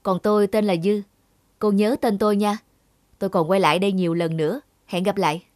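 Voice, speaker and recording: A woman's voice: mid-range voice, level tone, fairly quick, a little mellow, dry recording, clean studio sound, natural voice. A woman playing a carefree, daring young male stuntman in a cartoon, joking and nonchalant. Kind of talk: animated cartoon dialogue.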